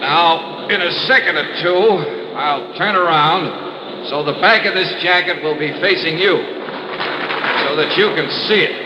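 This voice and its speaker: An actor playing a shrewd, coolly persistent insurance investigator in a classic American radio crime drama, with a probing, clipped delivery. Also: male voice, on the high side, measured pace, close to an everyday voice. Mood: taunting, tense. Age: young adult. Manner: probing, clipped, hesitant lead-ins. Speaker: radio detective lead. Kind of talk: classic radio crime acting and first-person narration. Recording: dull, noisy, echoey old radio recording.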